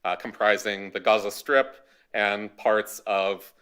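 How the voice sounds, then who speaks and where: A man's voice: lowish voice, steady tone, slow, sharp tone, smooth. A man speaking as a panelist in a large auditorium, giving an academic talk from a podium microphone with many fillers and restarts.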